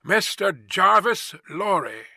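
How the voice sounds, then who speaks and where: A man voicing a hoarse, plain-spoken messenger in a storybook reading, calling out slowly and insistently. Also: a little high, pushed and bright, no room sound, studio quality, voice pushed up, full character voice.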